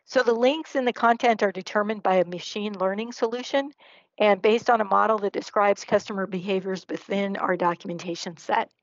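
A woman's voice: mid-range voice, a little fast, no echo. A woman presenter giving a slide presentation and answering questions over a video call. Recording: slightly dull online meeting audio.

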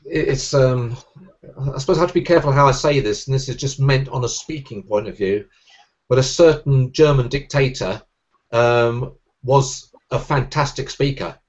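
A man in his forties with a Southern English Sussex accent, a magician speaking with crisp diction and quick energy in a casual video-call interview. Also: mid-range voice, muffled recording. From England. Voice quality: clear diction. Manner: fast, energetic talker, gestures while speaking. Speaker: magician guest. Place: room at home, indoors. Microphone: webcam on a video call.

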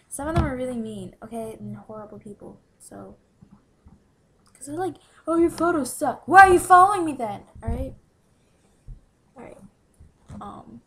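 A woman's voice: a little high, fairly bright tone. A teenage girl chatting casually into a webcam in a small room, answering follower questions in a bubbly, giggly way and often dropping to a whisper. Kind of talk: casual Q&A vlog. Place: small room indoors by a window. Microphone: webcam from below at close range.

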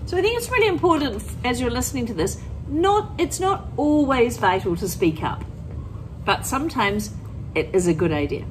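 Animated, high-pitched woman teacher. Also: moderate pace, a little hiss or hum, rough recording. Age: middle-aged. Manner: instructive, expressive, plain-worded explaining. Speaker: primary school teacher. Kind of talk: teaching and reading a picture book aloud to children.

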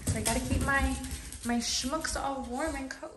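A woman's voice: high-pitched, steady tone, normal speed, over loud music. A young woman in her twenties chatting casually to her viewers at home, upbeat and filler-heavy, filmed on a phone in her kitchen. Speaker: vlogger. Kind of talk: casual vlog chat.

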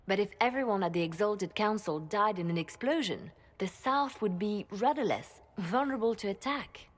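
An actress voicing a poised, calm woman spymaster and former bard in a video game, speaking in a measured, softly formal way. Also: medium pitch, breathy edge.

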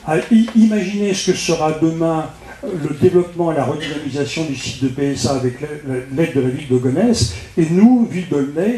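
A man makes a halting public-meeting speech into a handheld microphone, often repeating words as he addresses an official. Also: a little high, warm tone. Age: 60s. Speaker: local politician speaking from the floor at a public debate. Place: indoor meeting room with seated audience. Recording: roomy, echoing public meeting hall.

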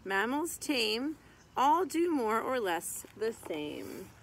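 A woman's voice: a little high, very animated, slow. A woman in her thirties reads a children's picture book aloud like a teacher, phrase by phrase with short asides. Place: outdoors in a garden beside a tree. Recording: outdoor background noise with birds and rooster.

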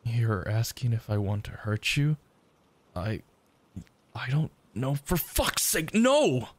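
A man voicing a desperate, lovesick, self-loathing young yandere in an intimate ASMR roleplay, halting and stammering. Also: medium pitch, very animated, dry recording, exaggerated voice. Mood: panicked, pleading. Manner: halting, stammering, pleading, breathy sighs.

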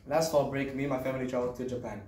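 A man's voice: medium pitch, level tone, rapid-fire, a little mellow. Young man giving a speech, roomy distant sound. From Israel. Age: teenager to young adult. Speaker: student speaker. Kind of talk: prepared personal speech. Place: small classroom, indoors. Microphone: camera on a desk, several metres off. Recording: roomy, distant mic, faint noise.

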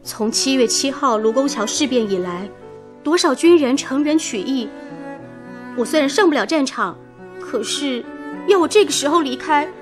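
An actress playing an earnest, idealistic young daughter in a radio drama, speaking with sensitive, pleading emotion. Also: female voice, sits high, animated, moderate pace, over music. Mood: solemn resolve.

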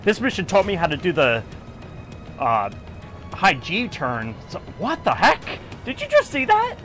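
Excitable man gamer, voice swinging wildly. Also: high-pitched, unhurried, over music. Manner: excitable, thinking aloud. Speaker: gamer doing a blind playthrough. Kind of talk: light gameplay commentary.

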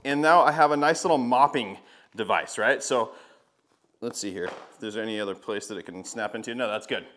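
A man's voice: on the low side, fairly quick, a bit bright. A man in his thirties casually talking viewers through a product test, chatty, with frequent fillers, on a lapel mic. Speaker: car detailing channel host. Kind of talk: casual product review vlog. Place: garage workshop, outdoors by a van, and a home hallway.

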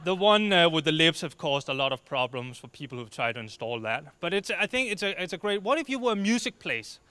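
A man in his thirties from Denmark with a Danish accent, giving a short, joking talk on a dark stage into a handheld mic and waving his arms as he speaks. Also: fairly high pitch, big pitch swings, fairly quick, clean tone, no room sound. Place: dark stage before an audience.

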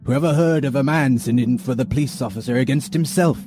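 A man playing a laid-back, cheeky servant who talks in a casual, deadpan way. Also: mid-range voice, fairly quick, music in the background, close to an everyday voice. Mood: calm.

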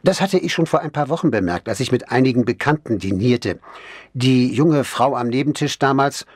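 A man narrating a crime novel in the first person, reading in a measured, expressive literary manner. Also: mid-range voice, slightly rough, no echo, studio quality. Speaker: audiobook narrator.

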